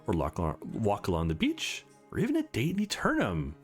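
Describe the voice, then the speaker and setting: A man plays a young male visual novel protagonist, eager and a little unsure. His pitch moves around a great deal, and soft music sits behind him. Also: huge pitch swings, a little fast, husky, full character voice. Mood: upbeat, musing.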